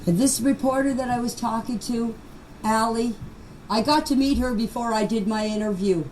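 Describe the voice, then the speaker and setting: Older woman, high voice, unscripted voice-message monologue, defensive and emphatic, noisy amateur recording. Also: normal speed, darkish tone. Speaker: grandmother giving a personal account.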